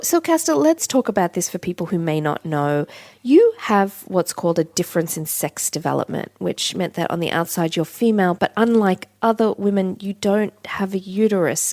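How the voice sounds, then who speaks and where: Woman, radio interviewer, mid pitch, calm, measured, clear delivery, dry studio sound. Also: dry recording.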